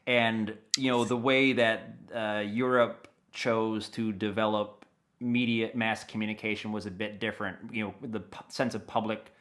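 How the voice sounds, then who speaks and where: Bright-voiced American man, chatting casually. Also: lowish voice, little pitch movement, moderate pace. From United States. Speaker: interview guest, audio dramatist. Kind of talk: casual conversational interview.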